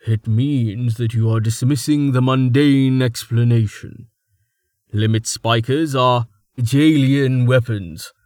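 A calm, scholarly man lays out his point slowly and deliberately, holding back anger under an even surface, as a man narrator voices him. The recording is close and dry. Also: medium pitch, dry recording, close to an everyday voice. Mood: stern. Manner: measured, slow, with restrained anger.

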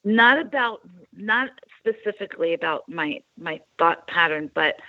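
A woman's voice: fairly low pitch, animated, measured pace, dry recording, muffled recording. A woman from Long Island, New York, telling her faith story as an interview guest in a rambling, hesitant way with many fillers.

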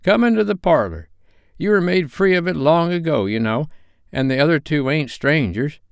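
A crafty old rag-and-bone man who haggles and wheedles, as a male reader puts him on. The voice sits raised above the reader's own and moves lively. It comes across smooth and clear. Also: on the high side, normal speed, no room sound, clean studio sound, pitched above the performer's own voice. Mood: welcoming. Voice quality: wheedling, chuckling.

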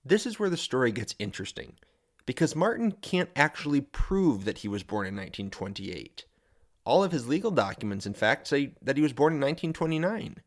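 A man who hosts the show, narrating a true story in a measured, scripted way that builds suspense. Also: mid-range voice, big pitch swings. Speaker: host and narrator.

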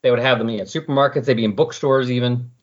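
A man's voice: mid-range voice, fast talker. A man talking casually and enthusiastically about comic books, like a podcast guest. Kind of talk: casual comic book discussion. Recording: muffled lo-fi audio.

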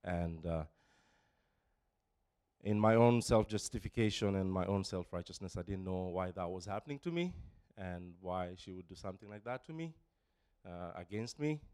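A man in his forties from Kenya shares his testimony with a congregation. His voice sits very low and sounds smooth and resonant. It is close and dry on the microphone, broken by pauses and restarts. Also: expressive, a little mellow, no room sound. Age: 40s. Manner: halting, many fillers and restarts, emotional. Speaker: church member giving testimony. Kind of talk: personal testimony. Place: church. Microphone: handheld microphone.

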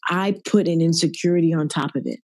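Woman with a deep raspy voice. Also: fast talker, a little mellow, dry recording. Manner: earnest, faith-centered, speaks in lists. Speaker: guest. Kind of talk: reflective podcast interview. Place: at work.

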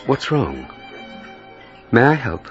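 An actor playing a courteous, devoted English country gentleman in a radio drama, tender and gentle in manner. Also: medium pitch, sing-song, takes it slowly. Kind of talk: period radio drama acting. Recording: dull recording with music behind. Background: music.

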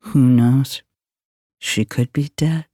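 Man playing slow, haunted retired homicide detective. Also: medium pitch, fairly even intonation, dark tone, slight background noise, close to an everyday voice. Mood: brooding, wistful. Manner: brooding, deliberate noir storytelling. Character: haunted, world-weary retired male Los Angeles homicide detective. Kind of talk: radio theater monologue.

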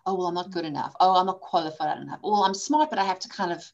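A woman in her forties from South Africa, a guest in a conversational interview, telling her story candidly with quick asides. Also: on the low side, vocal fry, lo-fi sound. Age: 40s. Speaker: podcast guest, coach and author.

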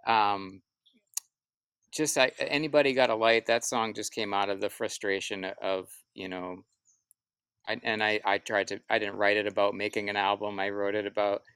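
Low, creaky, smooth-voiced man reflecting. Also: fairly bright tone, dry recording. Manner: halting, reflective, self-repeating. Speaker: musician, interview guest. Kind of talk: casual interview answers, storytelling.

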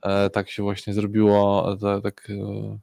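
Mężczyzna współprowadzący luźną rozmowę na żywo, mówi z namysłem i podchwytuje słowa rozmówcy. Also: male voice, lowish voice, completely flat delivery, unhurried, vocal fry, darkish tone, no room sound. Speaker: co-host of a live talk show. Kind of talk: casual chat.

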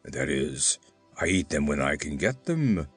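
A man voicing a huge, hungry, man-eating giant in a storybook, greedy and mock-sorrowful, with a slow, wheedling, rumbling delivery. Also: sing-song, soft background music, exaggerated voice.

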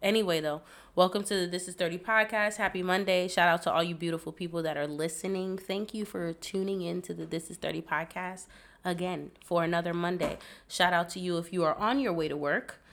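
A woman's voice: lowish voice, fairly even intonation, a little fast, fairly bright tone. A woman hosting a podcast in casual chat, a bit congested, rambling and giggly with lots of fillers. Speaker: podcast host.

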